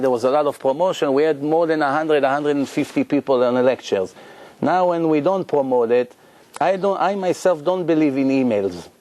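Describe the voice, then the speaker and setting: A man, a rabbi, telling stories in a lively lecture to his congregation, acting out the people he quotes. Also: medium pitch. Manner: animated, acts out quoted voices, frequent restarts.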